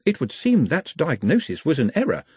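A man voicing an odd, gentle, learned young man who speaks formally and precisely in a storybook reading. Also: fairly high pitch, wildly swooping pitch, normal speed, breathy edge, dry recording, pitched above the performer's own voice, heavily acted. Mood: meek.

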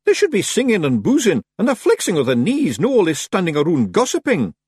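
A man voicing an excitable little male fairy clan leader with a Scottish accent, nervous and boastful, in an audiobook. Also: high voice, brisk, slightly rough, dry recording, clean studio sound, voice pushed up, heavily acted. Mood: boastful, lively. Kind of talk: audiobook character voice.

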